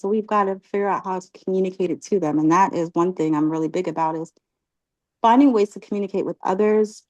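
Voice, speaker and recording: A woman leading a religious class, asking catechism questions and encouraging her students, often pausing with ums. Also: lowish voice, darkish tone, no room sound, low-quality recording. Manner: encouraging, halting with many ums.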